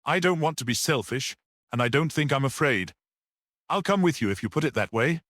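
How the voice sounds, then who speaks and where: A man's voice: medium pitch, animated, fairly quick, fairly bright tone, no echo, clean studio sound, pitched above the performer's own voice, lightly acted. A man voicing an amiable, obstinate little old man in a storybook, talking thoughtfully. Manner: thoughtful, mildly stubborn.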